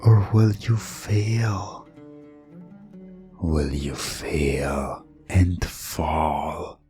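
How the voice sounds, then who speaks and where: A man with a dark, mellow voice delivers hypnotic ASMR storytelling. He speaks extremely slowly and deliberately, leaving dramatic pauses and sinking at times toward a whisper. Soft music plays faintly behind him. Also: very deep voice, animated. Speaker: hypnosis audio narrator and storyteller. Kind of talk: slow, theatrical hypnosis ASMR narration.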